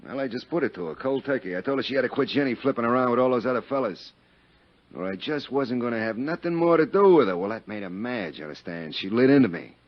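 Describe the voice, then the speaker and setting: An actor in a 1950s radio drama playing a crude, boastful, jealous Chicago businessman, rambling and overfamiliar in folksy roughneck diction. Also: medium pitch, expressive, quick pace, lightly acted. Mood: indignant, jealous. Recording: dull vintage 1950s radio recording.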